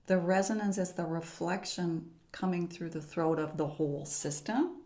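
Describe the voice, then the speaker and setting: Calm, measured woman voice teacher. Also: fairly low pitch. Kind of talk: podcast guest explaining.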